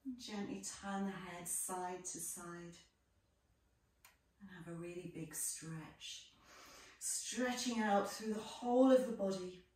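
Slow-speaking woman in an echoey room. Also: fairly low pitch. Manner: gentle, unhurried, measured phrases with pauses. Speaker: yoga teacher. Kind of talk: guided relaxation, soothing instruction. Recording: echoey room, distant microphone.

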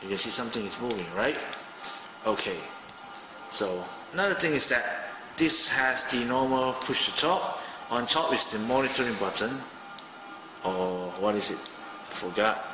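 A man's voice: mid-range voice, lively intonation, moderate pace. A man in his thirties from Malaysia, chatting casually through a gadget review at his desk and thinking aloud with fillers, filmed on a handheld phone. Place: indoors at a home desk. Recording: roomy with long reverb, music behind.

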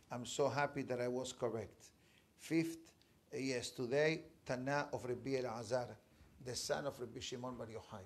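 A man in his forties, a rabbi, telling a story in a Torah class in an emphatic, animated way with pauses. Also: mid-range voice. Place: study room with bookshelves, indoors. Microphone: fixed camera, seated at desk.

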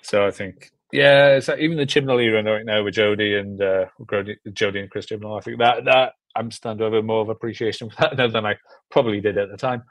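A young South Welsh man with a fairly low voice in casual conversation. He is keen and emphatic, doubling up his words and phrases. The sound is dry, with very little room on it. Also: fairly even intonation, quick pace, no room sound. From South Wales. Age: 20s or 30s. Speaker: film director, interview guest. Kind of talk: casual chat. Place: small room at home, video call. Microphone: video call, earbuds.

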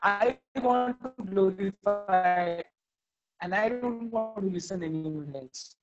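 A man, reading verses aloud and then explaining them with animated emphasis in a scripture class held over a video call. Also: sits high, slow pace, over music. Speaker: class speaker. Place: indoor room at home. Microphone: video call, laptop or phone camera. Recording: dull lo-fi video call audio.

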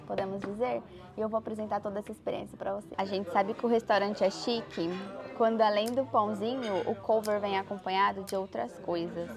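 A young woman with a Brazilian Portuguese accent, chatting to camera as a travel vlogger while tasting food in a restaurant, on a lapel mic. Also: fairly high pitch. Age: young adult. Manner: chatty, tasting aloud with murmurs. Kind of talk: casual food vlog to camera. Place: restaurant terrace, indoors.

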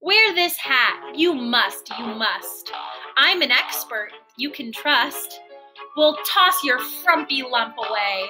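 A young woman giving an expressive, sing-song read-aloud of a children's storybook, indoors at a computer. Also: high voice, slow pace, over music. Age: young adult. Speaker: storybook reader.

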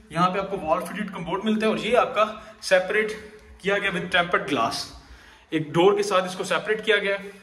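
High-voiced Pakistani man touring a house. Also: a bit bright. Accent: Pakistani Urdu. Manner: upbeat salesman patter mixing English phrases. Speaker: property tour host. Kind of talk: walkthrough house tour narration. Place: empty house, large tiled rooms indoors. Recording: echoey empty rooms.

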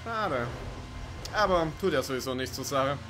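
A man's voice: medium pitch, animated, normal speed, background noise. A man giving casual, rambling, sweary let's play commentary over racing game sounds. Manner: rambling, sweary, joking to himself. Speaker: gaming video commentator.

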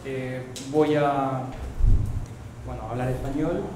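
A man, reading a prepared academic paper in a measured way, on a table mic in a seminar room. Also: mid-range voice, steady tone. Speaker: conference speaker. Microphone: table gooseneck mic. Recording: echoey room with faint noise.

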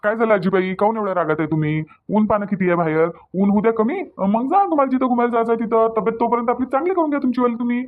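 Guy doing friendly cartoon village doctor, high quick voice, varhadi marathi accent, reassuring, tinny phone sound. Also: expressive. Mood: gently scolding. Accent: Varhadi, Vidarbha Marathi. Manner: folksy, reassuring, repetitive. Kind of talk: cartoon dialogue.

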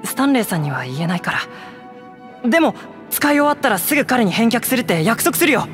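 An earnest, admiring young male adventurer from an anime game. The voice is fairly high and very lively in pitch, eager to please, over clear background music. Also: fast. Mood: pleading. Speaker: game character: novice adventurer. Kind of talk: Japanese anime game voice acting.

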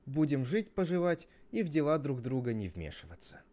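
A man's voice: fairly high pitch, sing-song, fairly quick, pitched above the performer's own voice. Man acting out bossy, hot-tempered Cain, raised voice. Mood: persuasive, firm. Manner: bossy, shouting, mocking. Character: Cain, domineering, hot-tempered grown brother.

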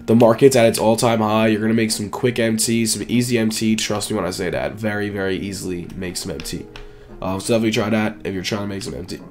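A man in his twenties with a raspy, dry, flu-sick voice, giving casual, slangy gaming video commentary as a YouTube host. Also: fairly low pitch, fast, soft background music. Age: 20s. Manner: slangy, informal, repetitive emphasis. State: sick with flu, sore throat, coughing.

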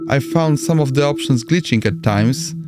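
Male tutorial host, Polish accent, medium pitch, instructional screen voice-over with prominent backing music. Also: normal speed. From Poland. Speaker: amateur radio tutorial host. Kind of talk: step-by-step screen tutorial narration.

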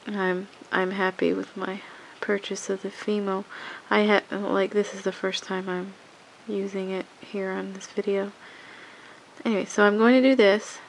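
A woman's voice: mid-range voice, flat delivery, measured pace, vocal fry, a little hiss or hum, low-quality recording. A woman with a cold talks through a hands-on craft tutorial at home, sniffling and rambling with many restarts. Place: home craft table, indoors.

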